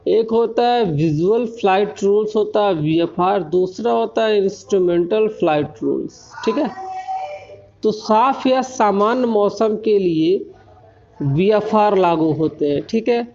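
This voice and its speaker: An Indian man giving an explanatory technical lecture, often pausing to check that listeners follow. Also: high voice, expressive, darkish tone. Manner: frequent check-in tags while explaining. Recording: dull lo-fi recording.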